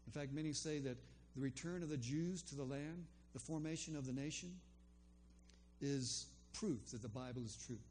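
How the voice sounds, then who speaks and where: A man's voice: mid-range voice, moderate pace, darkish tone, faint music behind. Older guy, medium voice, telling his story kinda rambly with lots of ums. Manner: rambling, with frequent ums and restarts. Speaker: storyteller recounting a faith conversation. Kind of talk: casual spoken testimony.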